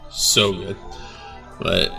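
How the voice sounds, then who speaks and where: Gravelly low-voiced man, laid-back rambling. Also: level tone, drawn-out words, bright, forceful tone, far from the mic, soft background music. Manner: laid-back, rambling, frequent fillers and swearing. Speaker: bass player reacting to his band's video. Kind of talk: casual reaction vlog.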